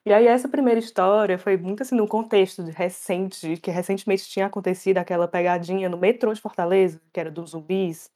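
A young woman telling stories in a casual chat, animated and laughing often. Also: medium pitch, fast talker, few pauses. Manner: animated, laughs often, acts out quoted voices. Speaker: storyteller guest.

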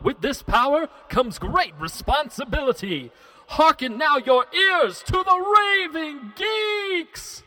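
A man's voice: animated, pushed and bright, full character voice. A man doing a grandiose superhero trailer narrator. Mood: dramatic. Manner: slow, booming, theatrical delivery. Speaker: show intro announcer.

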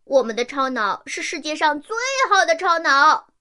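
A woman plays a proud, chirpy little kid in a sci-fi radio drama. The voice is very high and full of excitement. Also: moderate pace. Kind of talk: Chinese sci-fi audio drama acting.

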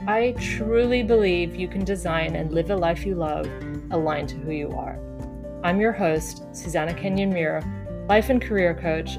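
A woman's voice: on the low side, gravelly. A middle-aged woman, a life coach hosting a podcast, reading a scripted intro and asking questions. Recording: music bed under voice.

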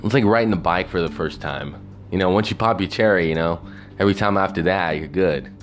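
A man with a very deep voice talks alone in a loose, meandering monologue, often checking in with "you know?" His tone is reflective and wistful. Soft music plays faintly underneath. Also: brisk. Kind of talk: rambling personal monologue.

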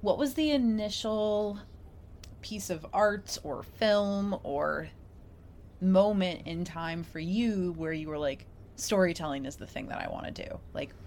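A woman's voice: lowish voice, expressive, unhurried, a little mellow, a little hiss or hum. A woman co-hosting a podcast, interviewing in a casual, conversational and playful way. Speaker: podcast co-host.

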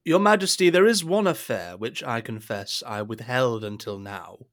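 A man's voice: mid-range voice, expressive, moderate pace, fairly bright tone, faint music behind, lightly acted. An actor playing a scheming cardinal in an audio drama, formal and insinuating in his speech. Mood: grave, insinuating. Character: smooth, scheming cardinal and royal adviser.

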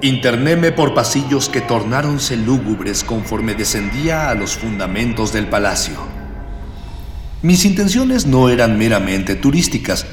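An actor playing a florid ex-policeman who narrates a radio serial in a grandiloquent, mock-archaic manner. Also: on the low side. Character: florid ex-policeman narrator, male companion of the hero. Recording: reverberant, music underneath.

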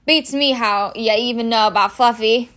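Woman voicing hearty big groundskeeper man, raised pitch. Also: moderate pace, a bit bright, natural voice. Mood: guarded. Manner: colloquial, hearty, blurting. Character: big bearded groundskeeper man, proud, secretive but easily flattered.

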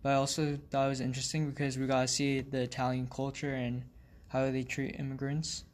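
A teenage man co-hosting a casual student podcast, giving his opinions in a steady, even way. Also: mid-range voice, a little fast. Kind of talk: casual discussion. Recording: noisy amateur recording.

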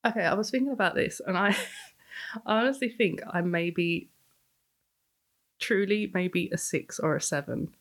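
A woman guest on a casual podcast, a bit raspy from a cold, laughing often and searching for words. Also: mid-range voice, a little slow, long pauses, a bit bright.

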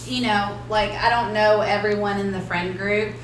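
A woman chatting casually on a podcast, soft-spoken and hesitant, with many fillers. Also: mid-range voice, fairly even intonation, moderate pace. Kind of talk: casual chat. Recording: echoey room, faint hiss.

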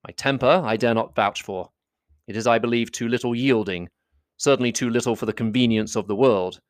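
A man voicing a proud, reserved young gentleman, speaking in a measured, grave way. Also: medium pitch, dry recording, close to an everyday voice. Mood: earnest.

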